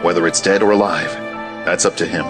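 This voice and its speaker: A man's voice: sits low, fairly even intonation, a little fast, loud music behind the voice, close to an everyday voice. A man playing a composed, sardonic military colonel in an anime fan dub, dry and authoritative. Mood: businesslike.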